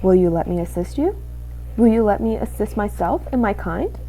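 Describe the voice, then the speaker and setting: A woman's voice: mid-range voice, expressive, normal speed, mellow, a little hiss or hum, natural voice, poor audio. A woman voicing a sly, cruel male rat villain in a storybook, falsely courteous and drawing out the words in an insinuating way. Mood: oily, mock-friendly.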